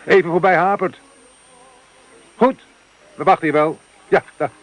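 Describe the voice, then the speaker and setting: An actor playing a gruff, cynical radio inspector in a monitoring van, who talks in a blunt, grumbling way, in a radio play. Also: male voice, high voice, measured pace, slight background noise, poor audio. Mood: baffled. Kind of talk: radio drama dialogue.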